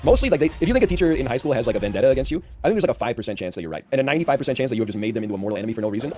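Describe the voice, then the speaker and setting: A man in his early thirties rattles off rambling stories at a very rapid clip, his deep voice padded with constant "like"s. He hosts a casual game stream, his tone dry and unexcited, and his pitch sits in an ordinary middle range. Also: very animated, dark tone, soft background music. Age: early 30s. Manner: rambling, talky, heavy on filler "like"s; dry, unenthusiastic delivery. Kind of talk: casual gaming chat and storytelling.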